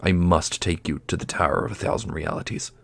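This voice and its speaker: A man playing a solemn, formal guardian of mystical obelisks in a fantasy audio roleplay, with a gravelly, breathy, measured voice. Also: sits low, steady tone, no echo.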